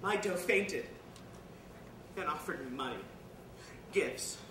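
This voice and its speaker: A woman playing a bitter, guilt-ridden, washed-up reindeer parent in a confessional dramatic stage monologue that swings between hushed and loud. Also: low-pitched, lively intonation, slow. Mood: defiant, anguished. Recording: echoey, distant, noisy live room recording.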